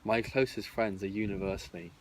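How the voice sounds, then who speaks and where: A man's voice: lowish voice, steady tone, a little fast, slight background noise. A man with a stammer, speaking frankly and wryly as a podcast co-host. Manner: frank and wry, occasional stutter repeats. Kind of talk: casual discussion.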